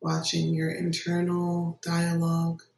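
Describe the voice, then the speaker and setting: Meditation guide, mid-pitched gravelly voice, extremely even tone, gentle pauses, roomy distant recording. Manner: gentle, unhurried instruction with long pauses. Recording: roomy echo, distant microphone.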